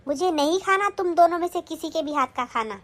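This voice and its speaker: A woman's voice: high voice, normal speed, lightly acted. A woman acting a stern older mother-in-law who scolds and commands in a family skit. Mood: angry.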